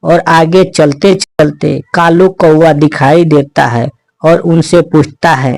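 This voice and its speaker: A man's voice: on the high side, steady tone, takes it slowly, vocal fry, a little mellow, no room sound. A man narrating a scripted children's animal story as the storyteller.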